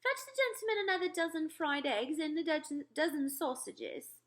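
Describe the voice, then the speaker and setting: A woman's voice: high voice, animated, normal speed, fairly bright tone, pitched above the performer's own voice. A woman voicing a prim, gracious queen in a storybook, speaking in a stately, polite and commanding way. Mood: regal, puzzled.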